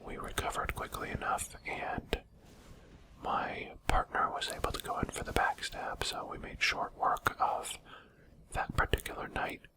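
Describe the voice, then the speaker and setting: A man speaking in a breathy whisper, giving quiet, explanatory commentary on a video game as a channel host. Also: low-quality recording.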